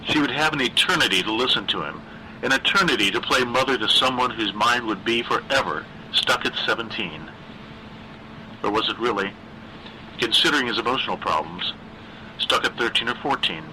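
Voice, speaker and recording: A man reading a dark horror story as a scripted narration, with a dramatic, deliberate literary delivery. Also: medium pitch, lively intonation, a little hiss or hum, low-quality recording.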